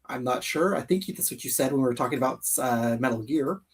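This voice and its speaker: A man's voice: medium pitch, a little fast, husky, distant mic. A man hosting a casual gaming livestream, talking enthusiastically and repeating phrases for emphasis. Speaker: host of a live gaming countdown show. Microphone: streaming call microphone.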